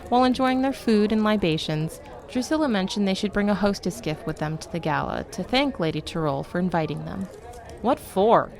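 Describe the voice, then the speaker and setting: A woman's voice: medium pitch, fairly quick, creaky, hardly any pauses, darkish tone. A woman reading scripted narration for a fantasy story, as a storyteller. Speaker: narrator of a fantasy fiction podcast.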